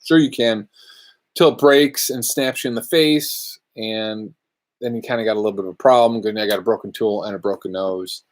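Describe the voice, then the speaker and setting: A man's voice: fairly low pitch, fairly even intonation, moderate pace. Laid-back man chatting from his workshop. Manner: laid-back, rambling, answers viewer questions. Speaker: luthier podcast host. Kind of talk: casual live Q&A chat.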